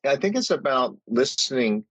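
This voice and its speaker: A man's voice: mid-range voice, very animated, normal speed, fairly bright tone, breathy edge, no room sound, low-quality recording. A man speaking as a podcast guest, earnest and rambling, with many restarts and small laughs. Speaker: guest, company CEO. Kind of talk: reflective interview talk.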